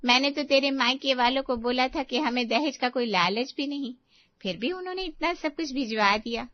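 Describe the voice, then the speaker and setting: A woman's voice: high voice, normal speed, no room sound, muffled recording, lightly acted. A woman playing a fond, effusive middle-aged mother-in-law in a Hindi moral story cartoon. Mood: delighted.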